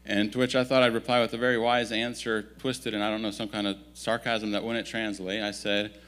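A man in his thirties who grew up in Italy, giving a relaxed, self-deprecating missionary update in a church at a stand mic. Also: fairly low pitch, fairly quick. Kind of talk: casual storytelling talk to a congregation. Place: church sanctuary, indoors. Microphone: stand microphone.

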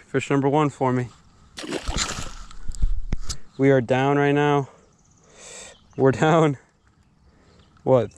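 A young man chatting casually and excitedly in bro-heavy slang while fishing outdoors. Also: mid-range voice, a little hiss or hum. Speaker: vlogger angler. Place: outdoors beside a pond. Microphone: body-worn action camera.

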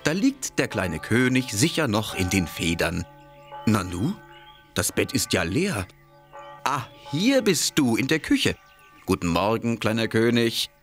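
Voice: mid-range voice, measured pace, faint music behind. An actor playing a friendly, inquisitive storyteller who narrates a children's cartoon and chats with its little hero. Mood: friendly, curious. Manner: expressive, gently inquisitive.

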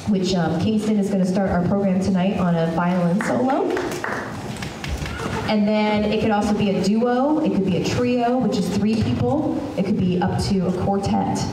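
A woman in her thirties, hoarse from a head cold, giving a friendly welcome speech at a school concert through a handheld mic, with lots of ums. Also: mid-range voice, moderate pace, background noise. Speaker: school strings teacher. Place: school hall, large room. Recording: echoey hall, taped from far off.

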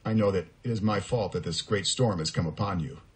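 A resigned prophet, voiced by a male narrator from a children's puppet show. He speaks gravely in a lowish voice, solemn and measured. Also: natural voice.

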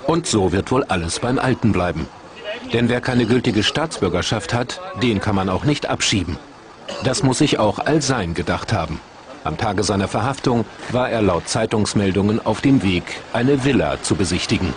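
A man reading the scripted narration of a television documentary in an even, measured way. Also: fairly low pitch, a crowd in the background.

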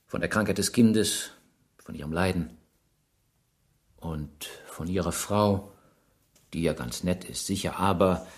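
An actor playing a shrewd, insistent police inspector who probes and presses a suspect in a radio crime drama. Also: male voice, low voice, a little mellow. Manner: probing, pointed, pressing questions.

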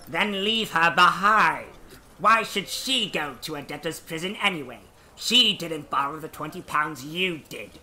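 Guy doing a grumpy miserly old man for radio play, really sharp scornful voice, slight background noise. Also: on the high side, lively intonation, a little slow, few pauses, exaggerated voice. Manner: curt, scornful, sarcastic. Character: bitter, miserly old businessman, scornful and cold. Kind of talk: stage-style radio drama acting.

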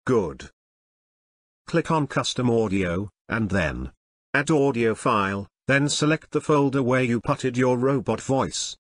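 A synthetic text-to-speech man's voice, giving scripted step-by-step tutorial narration in a measured, instructional way. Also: medium pitch, expressive, no room sound, studio quality.

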